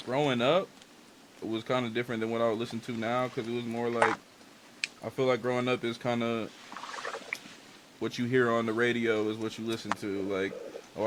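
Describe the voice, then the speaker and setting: A man's voice: mid-range voice, a little hiss or hum, low-quality recording. A young man whose first language is Spanish, a music artist and engineer, talking loosely about his influences in a casual interview and leaning heavily on "like" and slang. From Dominican and Haitian family. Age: young adult. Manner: loose and candid, heavy on "like" and slang.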